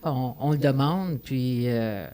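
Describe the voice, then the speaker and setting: Slow, hesitant panelist. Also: mid-range voice, mellow. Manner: slow and hesitant, lots of "euh". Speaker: guest. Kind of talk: podcast panel discussion.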